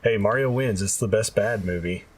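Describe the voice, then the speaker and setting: A man's voice: fairly low pitch, moderate pace, slightly rough, distant mic. An adult man co-hosting a podcast in casual conversation. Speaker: podcast co-host. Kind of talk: casual chat.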